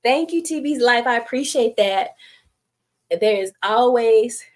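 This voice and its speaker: A woman's voice: on the high side, expressive, takes it slowly. A middle-aged woman with a Southern US accent and a light, youthful voice, chatting casually with viewers on a live stream and laughing often. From United States. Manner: chatty, giggly, self-deprecating, reads viewer comments aloud.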